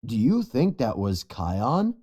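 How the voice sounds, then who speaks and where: A storybook narrator voicing an authoritative adult lion king and father in a serious, measured way. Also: mid-range voice, big pitch swings, warm tone, husky, exaggerated voice. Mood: doubtful.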